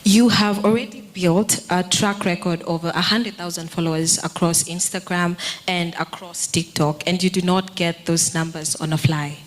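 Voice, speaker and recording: A woman's voice: lowish voice, steady tone, moderate pace, slight background noise. A woman moderating a summit panel, chatty and playful with the crowd. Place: stage at a summit.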